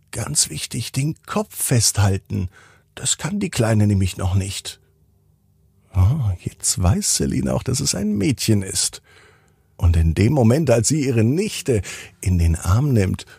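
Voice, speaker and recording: A man's voice: on the low side, creaky, a little mellow, slightly rough, dry recording, clean studio sound. A man narrating a bedtime story for children as the host and storyteller.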